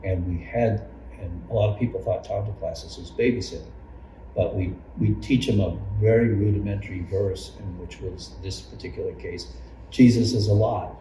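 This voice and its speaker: Very deep-voiced older man in an echoey room. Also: steady tone, dark tone. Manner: halting, circling storytelling with repeated phrases. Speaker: longtime church member and Sunday school teacher. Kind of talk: personal reminiscence in an oral history interview. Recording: roomy, distant mic, noisy background.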